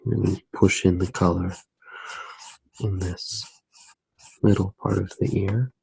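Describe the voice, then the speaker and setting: A man speaks as an ASMR artist guiding a drawing, in a hushed, halting way with pauses inside his sentences. His voice is dark and mellow, husky, and stays very even in pitch. He sounds close to the microphone as he works. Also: no room sound, poor audio. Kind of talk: ASMR drawing tutorial, narrated while working.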